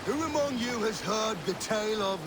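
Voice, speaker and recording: An actor playing a seasoned, boastful Viking warrior telling a theatrical tale in a game. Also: male voice, high voice, moderate pace, close to an everyday voice. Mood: dramatic yarn-spinning. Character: seasoned male Viking warrior spinning boastful sea yarns. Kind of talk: dramatic oral storytelling. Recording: steady water and boat noise behind. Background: boat on water.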